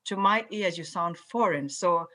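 Kenyan lady on a panel, lowish voice, slow careful talker. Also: pushed and bright. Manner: measured, deliberate enunciation. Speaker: panel guest. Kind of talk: panel discussion.

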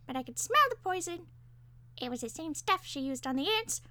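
A woman voicing a small male talking parakeet with a squeaky, elfin voice, whiny, spiteful and wily, in a story reading. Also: lively intonation, normal speed, heavy background noise. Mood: aggrieved, arguing. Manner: exclaiming, whining, chattering.

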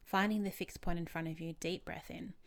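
Low-voiced woman guiding a healing session. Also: steady tone. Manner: soothing, measured, gentle prompting with pauses. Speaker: host and therapist guiding a tapping session. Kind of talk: guided healing session, calm step-by-step instructions.

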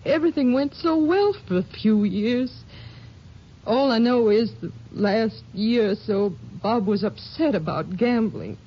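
Soft, breathy texas widow, halting speech, muffled old radio sound. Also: female voice, fairly high pitch, animated. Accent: Texan. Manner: hesitant, halting. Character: grieving widow of a small-town sheriff. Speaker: actress in a radio drama. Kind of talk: 1950s radio drama acting.

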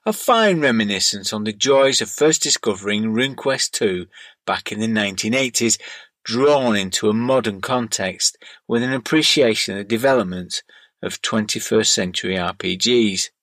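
Gentle Bolton-accented man, dry sound. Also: on the low side, expressive, moderate pace, no room sound. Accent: Bolton, northern English. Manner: gentle, wordy. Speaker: podcast host. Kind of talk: scripted monologue, reading listener mail.

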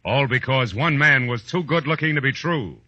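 An actor playing a tough, cynical private eye in a 1940s radio drama, with a wry, clipped hardboiled delivery. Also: male voice, medium pitch, moderate pace, heavy background noise, lightly acted. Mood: grim, emphatic. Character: tough, cynical male private eye narrating his case. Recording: muffled vintage radio recording with music.

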